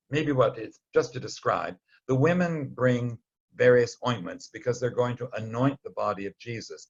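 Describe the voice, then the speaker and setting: A man's voice: mid-range voice, dull audio. A man giving an informal, explanatory Bible lesson in a conversational, storytelling way with some wry asides. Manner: conversational, wryly storytelling, acts out short quotes. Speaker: Bible study teacher.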